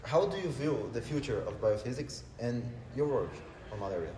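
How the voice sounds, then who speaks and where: A man's voice: mid-range voice, expressive, normal speed, warm tone, a little hiss or hum. A man interviewing a researcher at a conference, asking short questions. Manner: brief, prompting questions. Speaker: student interviewer. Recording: distant from mic, reverberant hall.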